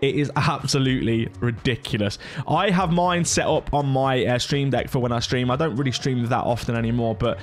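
A man's voice: medium pitch, fairly quick. A middle-aged man talking informally through a casual tutorial, in the manner of a gaming content creator. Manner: chatty, informal.

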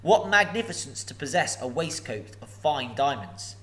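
A man voicing a small, frightened hobbit who answers a dragon with nervous, eager flattery in a lifted voice. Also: normal speed. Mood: flattering. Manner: higher, eager and flattering, voice lifting.

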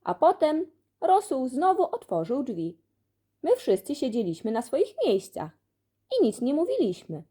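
A woman narrating a children's audiobook expressively, telling the story in an animated way. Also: high voice, measured pace, husky. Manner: animated storytelling, acts out dialogue. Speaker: audiobook reader.

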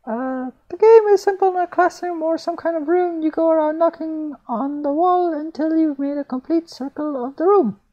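A man voicing a chatty young female art student in a thin falsetto, reading a visual novel aloud. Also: normal speed, hardly any pauses, mellow, lo-fi sound. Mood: explaining.

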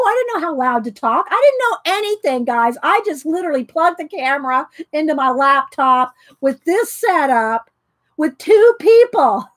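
A middle-aged woman hosting a casual craft chat from her home craft room, talking loudly and laughing often. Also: high-pitched, no echo. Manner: loud, chatty, laughs often. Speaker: craft video host. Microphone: overhead camera pointing down at desk.

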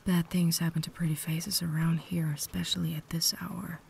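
Female actor as refined, caring vampire lady, ASMR roleplay. Low, soft whisper, gentle formal phrasing, noticeable ambience behind. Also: level tone, moderate pace, gravelly. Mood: caring, gracious. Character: cultured, caring vampire lady, secretly holding back. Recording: noticeable background ambience noise.

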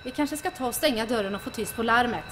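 An actress playing a cool, self-possessed young wartime heroine in an audio drama, with a dry, sardonic delivery. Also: female voice, fairly high pitch, over music, close to an everyday voice. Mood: composed.

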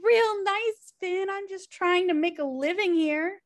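A woman's voice: extremely high pitch, a little slow. A woman playing a blunt, sarcastic, slobbish sister in a comedy table read. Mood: defensive. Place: indoor room on a video call. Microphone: video call.

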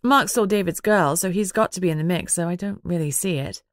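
A woman's voice: fairly low pitch, quick pace, no room sound, studio quality, close to an everyday voice. A woman voicing a frank young heroine in an audiobook, earnest and wry. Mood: thinking aloud.

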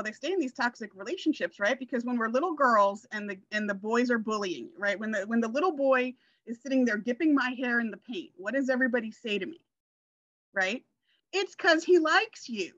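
A woman's voice: on the high side, fairly bright tone, dry recording. A woman, chatting casually and telling personal stories as a guest on a video call. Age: 40s. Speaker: guest sharing her success story. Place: indoor room, video call. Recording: slightly dull video call audio.

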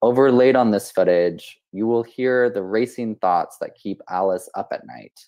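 A man's voice: fairly low pitch, expressive, normal speed, dark tone, no echo. A man in his thirties introducing a film as host in a scripted event style. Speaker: host and curator. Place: indoor room, video call.

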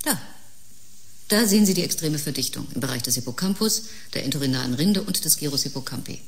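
A low-pitched woman as a grave doctor. She is composed and speaks with measured, clinical care. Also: lively intonation, slight background noise. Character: grave, composed female doctor briefing colleague. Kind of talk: audio drama acting.

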